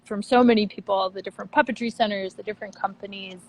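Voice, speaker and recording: A woman's voice: medium pitch, fairly even intonation, fast talker, rough recording. A woman chatting casually and cheerfully as a guest in an online video interview. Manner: upbeat, chatty. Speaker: puppet theater director, interview guest. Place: at the theater, moving between outside and lobby on a video call. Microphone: phone or laptop on a video call.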